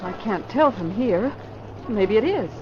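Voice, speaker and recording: An actress playing an earnest, polite young-sounding heroine in an old radio drama. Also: female voice, mid-range voice, lively intonation, measured pace, close to an everyday voice. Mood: matter-of-fact. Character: earnest, polite, eager female secretary-type heroine. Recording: noisy muffled old radio recording.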